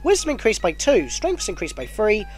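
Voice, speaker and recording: This is a man hosting his own gameplay video while stuffed up with a cold, his nose blocked as he talks. His voice sits high and leaps around wildly in pitch as he rambles and corrects himself. Faint game music plays underneath. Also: moderate pace. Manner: chatty, self-correcting, excitable at battles. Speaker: let's play host. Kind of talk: casual gameplay commentary.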